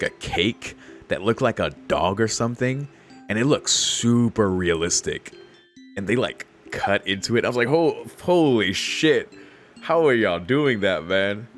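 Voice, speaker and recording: A man with a low-pitched voice reads a visual novel aloud as a casual gaming stream. He drops slangy, exclamatory reactions and swearing between the narration. Faint music plays behind him. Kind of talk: casual playthrough, reading aloud with off-the-cuff reactions.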